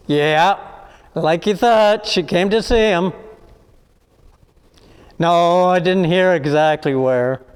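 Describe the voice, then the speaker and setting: Man playing high-voiced informant, echoey hall. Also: clean tone. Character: deferential male informant tipping off a boss. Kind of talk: noir radio play acting.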